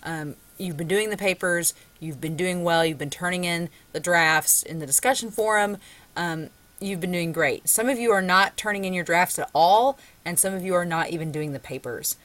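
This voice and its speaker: A woman with a Southern US drawl delivers a recorded college English lecture from her computer. Her voice sits a little low, and she speaks conversationally and encouragingly, with plenty of ums along the way. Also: moderate pace, fairly bright tone. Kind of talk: recorded lecture with screen share.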